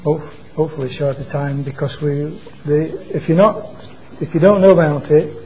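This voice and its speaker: A man gives an informal church sermon in a naturally quiet voice. His tone is mellow and a little higher than usual, and he often stops and restarts his words. Faint room ambience sits behind him. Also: slight background noise, low-quality recording. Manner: halting, repeats and restarts words. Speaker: preacher. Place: church meeting. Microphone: microphone being adjusted.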